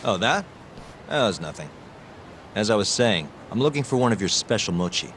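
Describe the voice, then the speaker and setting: An actor playing a cool, good-natured investigator hero in a video game drama, speaking casually and with self-assurance. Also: male voice, on the low side, sing-song, normal speed, a bit bright, slight background noise. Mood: easygoing. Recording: music behind the dialogue.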